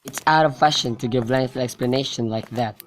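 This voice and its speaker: A practical, no-nonsense young gentleman in a student radio play, acted by a young man. His voice is mid-range and matter-of-fact, recorded with clear noise and clicks. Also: mid-range voice, fairly quick, lightly acted. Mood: dismissive. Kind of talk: amateur student radio drama acting.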